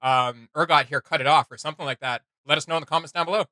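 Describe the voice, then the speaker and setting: Giggly, bright-voiced man. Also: on the high side, fairly even intonation, racing, no echo. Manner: joking, giggly, rambling with filler words. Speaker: reaction video host. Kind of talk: casual reaction chat.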